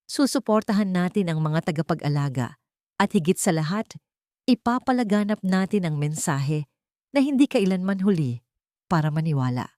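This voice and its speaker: A woman's voice: fairly low pitch, dark tone, dry recording, clean studio sound, lightly acted. A woman storyteller voicing a devoted, earnest widowed father in an audio drama, with a tender, solemn delivery.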